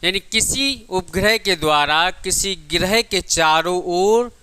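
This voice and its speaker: A man's voice: sits high, measured pace, pushed and bright, a little hiss or hum. A man giving a physics coaching lecture, repeating key points and asking questions he answers himself. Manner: addresses students affectionately as child. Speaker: physics teacher. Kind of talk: exam coaching lecture, working through derivations.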